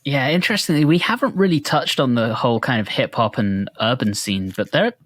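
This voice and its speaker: A man's voice: mid-range voice, expressive, fairly quick, a bit bright, dry recording, clean studio sound. A man co-hosting a podcast, talking casually and reflectively as he explains his thoughts on music. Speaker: podcast host.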